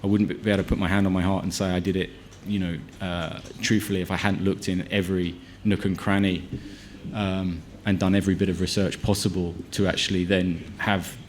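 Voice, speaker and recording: A man's voice: deep voice, level tone, fairly quick, a little hiss or hum. A film director answering at a press conference, with many restarts and fillers as he gathers his thoughts.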